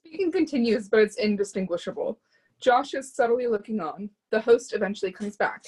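A woman's voice: fairly high pitch, expressive, fairly quick, darkish tone, slightly rough, rough recording. A woman reading stage directions as scripted narration for a readers theatre drama.

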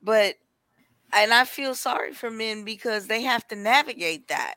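A woman's voice: on the high side, animated, a little slow, sharp tone, smooth, no echo. A woman chatting casually on a talk show, sharing her opinions. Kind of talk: casual chat, anecdotes and opinions.

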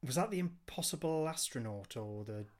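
A man's voice: medium pitch, animated, normal speed, a little mellow. A man hosting a podcast, chatting casually and reading out messages from listeners.

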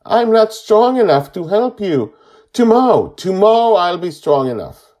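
A man's voice: medium pitch, big pitch swings, fast talker, dark tone, pitched above the performer's own voice. A man voicing a frightened, stubborn young boy in a storybook tale, pleading emphatically. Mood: tearful, pleading.

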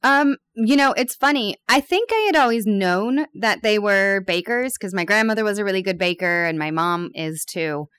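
A woman's voice: mid-range voice, fairly bright tone, clear, resonant tone. A woman in her thirties, a bakery owner, talking openly and candidly as an interview guest with some self-deprecating humor. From born in Peru, raised abroad. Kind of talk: casual interview chat.

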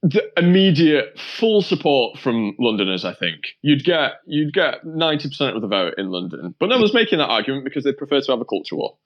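A man's voice: mid-range voice, sing-song, a little fast, few pauses, dull audio. A man talking politics as a panel guest, thoughtful and hesitant, often restarting with "I think". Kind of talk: political discussion.

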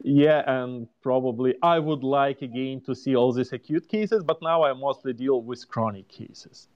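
A man in his forties from Ukraine with a Ukrainian accent, a guest answering interview questions in a halting way, searching for words. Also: mid-range voice, darkish tone, muffled recording. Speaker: homeopath guest.

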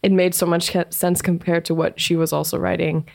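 A woman whose first language is Danish joins a casual book chat. Her voice is low for a woman, and she speaks quickly and thoughtfully, often saying "like". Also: level tone, creaky, darkish tone. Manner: quick, thoughtful, uses "like" a lot. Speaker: book discussion participant.

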